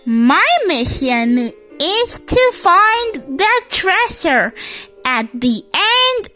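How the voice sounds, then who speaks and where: A reader with a childlike voice reads a children's picture book aloud haltingly, stumbling over and repeating words. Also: very high-pitched, sing-song, bright, forceful tone, faint music behind. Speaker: storybook reader.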